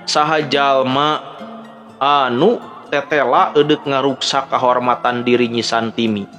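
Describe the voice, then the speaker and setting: A man with a Sundanese accent speaking as a storyteller and host, in an expressive, conversational way. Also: mid-range voice, measured pace. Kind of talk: dramatic Sundanese folk-tale narration and listener greetings. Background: background music.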